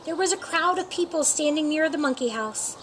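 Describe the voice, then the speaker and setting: A woman reading a picture book aloud as children's storytime, with deliberate, expressive phrasing for young listeners. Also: sits high, slight background noise.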